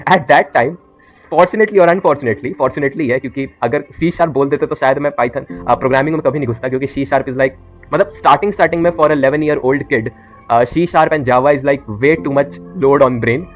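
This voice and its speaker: A man's voice: on the high side, rapid-fire, faint music behind. A teenage boy with an Indian English accent, a YouTuber telling his story to camera in a rambling way, full of fillers and switching into Hindi mid-sentence. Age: teens. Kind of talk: casual talk to camera.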